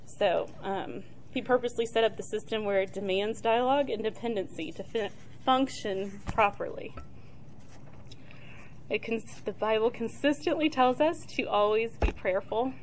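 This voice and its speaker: Soft-spoken woman teaching on prayer. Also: fairly high pitch, moderate pace, slight background noise, poor audio. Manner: soft-spoken, chatty, with frequent fillers and restarts. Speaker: church member leading a talk on prayer. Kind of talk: casual church teaching.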